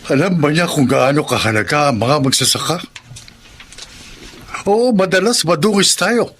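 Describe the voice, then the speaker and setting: A man's voice: on the high side, animated, normal speed, a bit bright, a little hiss or hum. A man playing a proud, stern middle-aged farmer father with an emphatic delivery in a Filipino radio drama. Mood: impassioned. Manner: emphatic, rhetorical. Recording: dramatic music behind the voice.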